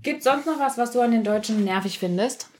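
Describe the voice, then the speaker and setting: A woman's voice: fairly high pitch, fairly quick, a little mellow, slight background noise. A young German woman hosting a podcast, chatty and teasing as she asks questions. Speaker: podcast host. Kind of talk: casual conversation.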